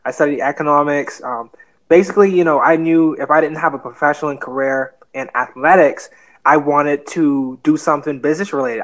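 Casting note: twenties Bahamian man, slightly high voice, relaxed podcast guest with fillers. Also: rough recording. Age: 20s. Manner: relaxed, earnest, many fillers. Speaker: guest real estate investor. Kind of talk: podcast interview.

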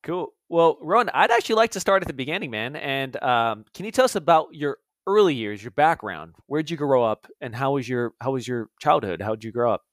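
A man's voice: medium pitch, animated, fairly quick, hardly any pauses, no echo, studio quality. A man co-hosting a podcast, chatting casually with a guest in a laid-back, enthusiastic, slangy way. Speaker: podcast co-host. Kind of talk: casual interview chat.

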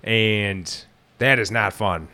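A man's voice: on the low side, slow pace, bright, forceful tone. A man in his thirties hosting an outdoors podcast, sounding nasal from a head cold and telling long, rambling stories. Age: 30s. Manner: chatty storyteller, rambling with restarts. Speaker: podcast host. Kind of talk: casual chat.

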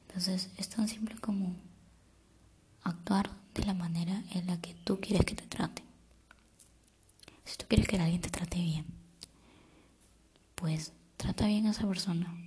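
Young woman, soft whispering, very breathy, slightly low voice, calm reflective advice. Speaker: vlogger giving life advice. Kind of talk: whispered ASMR-style advice talk.

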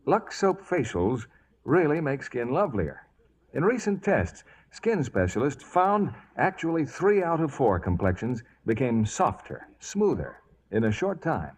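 An American man announcing on 1940s radio, with a smooth salesmanlike delivery. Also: medium pitch, very animated, unhurried, darkish tone.

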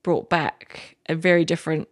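A woman's voice: sits low, little pitch movement, moderate pace. A woman from New Zealand with a New Zealand accent, a chef chatting as a podcast guest, enthusiastic and rambling with frequent restarts. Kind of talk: casual interview chat.

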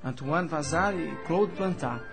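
Casting: actor as suave Brazilian-accented young ladies' man, fairly high male voice, period radio play, music underneath. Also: a little slow. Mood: polite. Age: young adult. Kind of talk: radio drama acting in a period story.

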